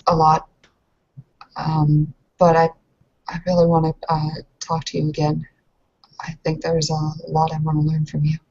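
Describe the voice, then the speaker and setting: Casting: woman as nervous young married woman in improvised roleplay; lowish husky voice, halting delivery, dull lo-fi recording. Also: completely flat delivery, a little mellow. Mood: awkward, earnest. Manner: hesitant, halting, awkward. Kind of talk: tabletop roleplay, improvised in character.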